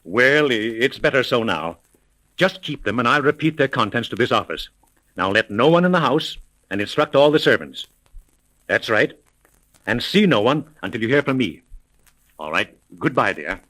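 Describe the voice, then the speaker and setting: A wealthy, anxious gentleman in a 1930s radio serial. He talks in urgent, formal phrases with lively swings of a medium-pitched voice. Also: normal speed, poor audio. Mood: guarded. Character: wealthy, anxious father threatened with death.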